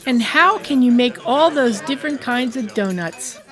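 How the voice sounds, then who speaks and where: A woman acts out a chipper, scatterbrained day-tripper in a radio play. She sounds guileless and food-minded, in a fairly high voice with lots of melodic movement. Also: expressive, normal speed, fairly bright tone. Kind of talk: scripted community radio theatre acting.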